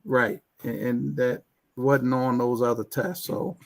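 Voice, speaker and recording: A man's voice: medium pitch, fairly even intonation, slow pace, vocal fry. A man talking as a guest in a casual online chat, stammering with many restarts. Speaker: guest IT professional.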